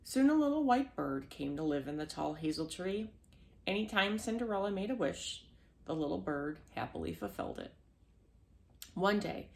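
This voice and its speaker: Female storyteller, lowish creaky voice, children's fairy tale read-aloud with small slips. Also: darkish tone. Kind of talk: scripted children's storybook narration.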